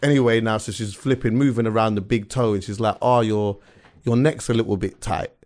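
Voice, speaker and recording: A man's voice: on the low side, fairly even intonation, brisk, a little mellow. A man chatting casually on a podcast, rambling and full of fillers like "bro" and "like". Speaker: podcast host. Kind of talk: casual chat.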